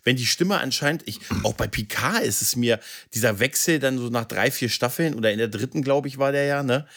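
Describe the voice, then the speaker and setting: A man's voice: mid-range voice, fairly quick, a bit bright. A man chatting as a podcast co-host in a rambling way, often checking for agreement. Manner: rambling, seeks agreement with tag questions. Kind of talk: casual chat about film dubbing.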